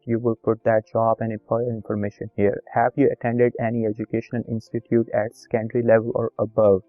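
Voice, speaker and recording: A man whose first language is Urdu, calmly walking viewers through an online form step by step and reading each field aloud. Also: medium pitch, no echo, highs cut off. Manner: plain instructive, reads form fields aloud, repetitive. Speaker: video tutorial host. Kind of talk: screen-recorded step-by-step walkthrough.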